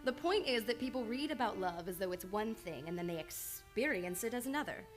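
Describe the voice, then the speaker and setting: A woman's voice: mid-range voice, animated, brisk, faint music behind, close to an everyday voice. An actress playing an earnest, reserved woman psychiatrist in an old-time radio drama, speaking formally and precisely. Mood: earnest, lecturing. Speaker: radio play actor. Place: radio studio.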